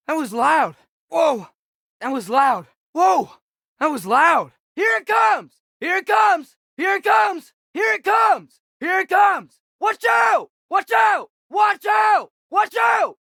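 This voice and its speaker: A man's voice: very high voice, gravelly, sharp tone, dry recording, heavily acted. Teen boy warrior in a game, gritty edge, dry sound, clipped urgent battle warnings. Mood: startled. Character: alert, urgent teenage boy warrior fighting beside his father. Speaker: video game voice actor. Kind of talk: shouted combat callouts.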